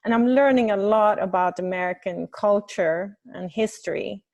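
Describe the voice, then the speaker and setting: A woman from Sweden with a Swedish accent, speaking English as her second language, speaking up haltingly in an online meeting and searching for her words. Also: mid-range voice, vocal fry, a little mellow. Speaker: webinar participant. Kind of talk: hesitant spoken comment in an online meeting. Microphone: video call.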